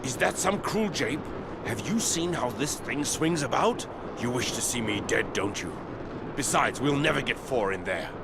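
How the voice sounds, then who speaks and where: An actor playing a crude, earthy older veteran patrolman in a fantasy game cutscene, grumbling in a creaky voice. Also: medium pitch, animated, moderate pace, very noisy, close to an everyday voice. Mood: grumbling, doubtful.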